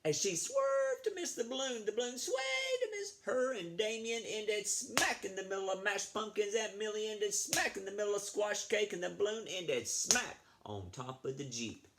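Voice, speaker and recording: A man reading a picture book aloud to children in an animated, chatty way, breaking off to ask them questions. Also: sits high, moderate pace, few pauses, noisy background. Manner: animated, chatty, asks the young listeners questions. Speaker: children's read-aloud storyteller, school librarian.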